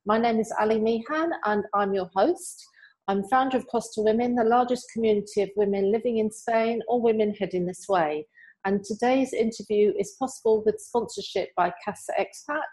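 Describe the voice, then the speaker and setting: Woman interview host, mid pitched voice, doing intros and asking the guest stuff. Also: darkish tone, rough recording. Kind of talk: interview hosting, scripted introduction.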